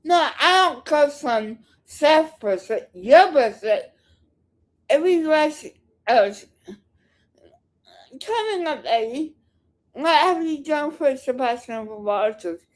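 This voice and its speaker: Slow, high-pitched, combative woman. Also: expressive. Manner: combative, scornful, curt. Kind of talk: unscripted heckling reaction commentary.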